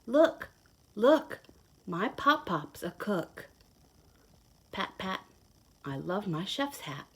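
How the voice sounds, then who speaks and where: Female read-aloud host, unhurried, mid-pitched, playful and sing-song, explains things simply for small children. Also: slightly rough. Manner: playful, expressive, sing-song rhyme reading. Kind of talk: picture book read-aloud with asides to children.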